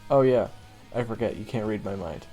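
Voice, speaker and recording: A man playing a seasoned monster hunter and blunt mentor to a rookie in an audio roleplay, speaking conversationally with halting, drawn-out pauses. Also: on the low side, dark tone, faint music behind, close to an everyday voice. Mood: casual, offhand. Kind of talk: audio roleplay acting addressed to the listener.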